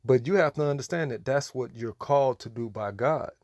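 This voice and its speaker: A man's voice: mid-range voice, a little fast, a little mellow, dry recording. Admonishing American man with a dry sound. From United States. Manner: admonishing, repetitive, emphatic. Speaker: religious commentator. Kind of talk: preachy reaction commentary.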